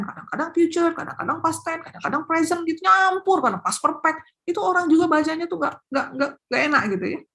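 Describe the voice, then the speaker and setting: A woman lectures on academic writing in a high, lively voice. She stresses her main points through repetition and speaks directly to her audience. It sounds like a guest speaker on a webinar. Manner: animated, repeats key points, addresses audience directly. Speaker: professor and invited speaker.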